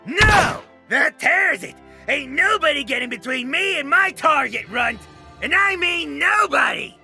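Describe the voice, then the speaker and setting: An actor playing a menacing space pirate villain who threatens in folksy gunslinger talk for a motion comic. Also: male voice, very high voice, animated, a little slow, creaky, bright, forceful tone, soft background music, full character voice. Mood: furious.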